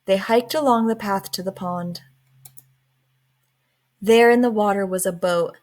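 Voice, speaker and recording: Slow, expressive woman reading a storybook. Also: mid-range voice. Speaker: storybook reader. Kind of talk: expressive children's read-aloud narration.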